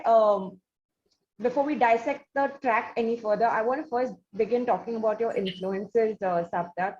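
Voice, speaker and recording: Woman, Indian accent, high voice, interview host, wordy and effusive, dull slightly noisy audio. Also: darkish tone. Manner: effusive, wordy, hesitant phrasing. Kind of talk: conversational interview. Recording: dull, lo-fi audio with background noise.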